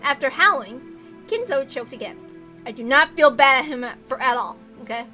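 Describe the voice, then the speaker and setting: A woman's voice: sits high, animated, normal speed, pushed and bright, soft background music. A woman reading a visual novel aloud expressively, with casual, blunt and sweary commentary. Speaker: visual novel reader and narrator.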